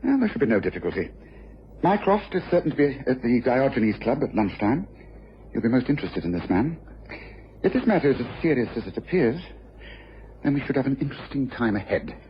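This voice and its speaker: A dull, faintly hissy radio recording carries an actor as a commanding male detective. He talks quickly and incisively, intense and hard on himself, lecturing with absolute assurance. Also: medium pitch, very animated, lightly acted. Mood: keen. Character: brisk, commanding detective, self-reproachful and intense. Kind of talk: radio crime drama acting.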